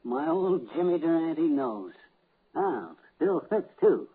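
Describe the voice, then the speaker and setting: An actor playing a boastful, folksy middle-aged husband and former vaudeville comic, blustering and rambling in 1950s radio comedy style. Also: male voice, fairly high pitch, big pitch swings, slow pace, husky, heavily acted. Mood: musing. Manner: blustering, rambling, self-assured. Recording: muffled, narrow old radio recording.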